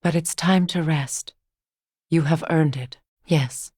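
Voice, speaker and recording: A woman playing a solemn, compassionate, determined ancient goddess in a video game, speaking in a measured, formal, earnest way. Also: sits low, mellow, slightly rough, no room sound, studio quality, exaggerated voice. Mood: resolute. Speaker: video game character voice actor. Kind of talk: dramatic game dialogue.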